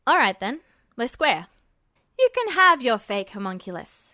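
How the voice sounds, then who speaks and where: A woman's voice: on the high side, very animated, unhurried, pushed and bright, no room sound, studio quality, full character voice. A woman voicing a stubborn, cocky teenage older brother with a casual, brusque manner in an audiobook story. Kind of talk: audiobook character voice.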